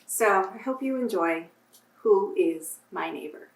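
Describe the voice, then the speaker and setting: A woman reading aloud to children as a storyteller, with a deliberate, simple delivery. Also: mid-range voice, expressive. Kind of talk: children's picture book read-aloud with casual introduction. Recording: roomy echo, distant microphone.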